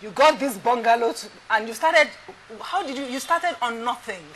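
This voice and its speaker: A woman's voice: on the high side, lively intonation, a bit bright, poor audio. Effusive woman TV host. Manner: effusive, talks in quick restarts. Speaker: TV talk show host. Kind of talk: live TV studio interview. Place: TV studio.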